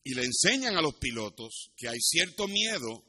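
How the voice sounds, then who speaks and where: A man's voice: a little high, big pitch swings, normal speed, pushed and bright, slightly rough. A man with a Puerto Rican accent preaching as a pastor, teaching scripture and telling personal stories in a didactic way, with occasional asides in English. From Puerto Rico. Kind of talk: sermon with Bible teaching and personal anecdotes.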